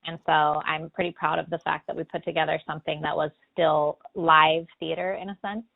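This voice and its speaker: Low, creaky-voiced American woman. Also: level tone, a little fast. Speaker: middle school drama teacher and director. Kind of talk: earnest interview answers. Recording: muffled, band-limited telephone interview.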